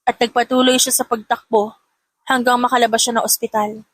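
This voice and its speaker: A female audiobook narrator with a fairly high voice reads scripted drama. The recording is dry and close, with no room echo. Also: flat delivery, brisk.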